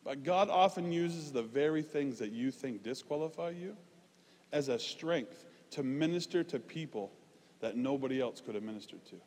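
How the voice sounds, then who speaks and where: A man preaching a conversational, informal sermon, emphatic at points and dropping into quieter, self-deprecating asides. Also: medium pitch, animated, normal speed. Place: large reverberant room, preaching live. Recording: reverberant hall sound.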